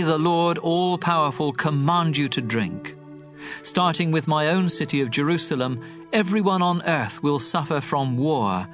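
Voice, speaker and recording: An actor playing the prophet Jeremiah, a grave and earnest man, delivering a scripture audio drama in a measured way. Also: a little high. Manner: grave, measured reading of warnings. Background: soft music.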